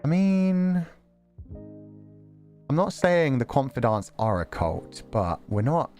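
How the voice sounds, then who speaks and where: A man's voice: mid-range voice, takes it slowly, faint music behind. A man chatting casually on a stream, laughing often and brushing off praise. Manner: laughs often, self-deprecating, lively.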